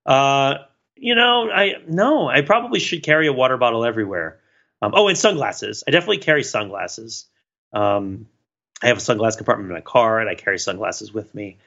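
A man co-hosting a podcast in casual, rambling chat, talking in an animated, self-deprecating and digressive way. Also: medium pitch, a little fast, creaky, muffled recording. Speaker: podcast co-host.

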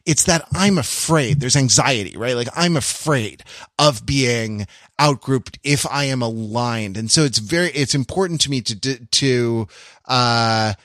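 A man's voice: mid-range voice, a bit bright, no room sound. Man thinking aloud, close dry sound. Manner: halting, self-correcting, analytical. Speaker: podcast co-host. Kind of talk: casual discussion.

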